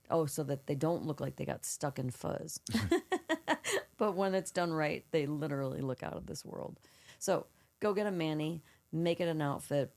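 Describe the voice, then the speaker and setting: A woman co-hosting a casual podcast with a froggy, slightly hoarse voice, reading a prepared segment and chatting. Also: low voice, a little mellow. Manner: reads prepared segment aloud, then chats casually. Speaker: podcast co-host.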